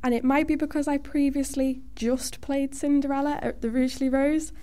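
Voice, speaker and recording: A woman's voice: sits high, level tone, moderate pace, mellow. A woman who performs on stage, chatting casually as an interview guest.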